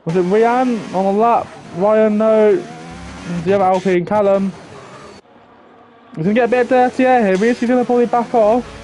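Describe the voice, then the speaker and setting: A man's voice: high voice, steady tone, normal speed, warm tone, a little hiss or hum. A young man giving live, chatty commentary on an online racing league, thinking aloud and talking to viewers. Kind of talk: live esports race commentary.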